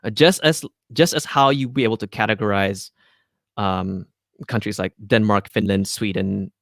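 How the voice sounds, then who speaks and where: A man from Malaysia speaks as a podcast guest, his voice fairly low. He answers carefully and hesitantly, often restarting his sentences. The sound is dry and close, with little room around it. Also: moderate pace, fairly bright tone, no room sound. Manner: thoughtful, hesitant, many ums and restarts. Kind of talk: casual interview chat.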